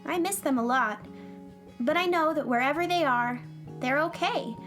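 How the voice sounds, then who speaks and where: A woman's voice: high voice, normal speed, close to an everyday voice. A woman playing an earnest, optimistic young girl in a children's space radio drama, cheerful and sincere. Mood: tender, hopeful. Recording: music behind the voice.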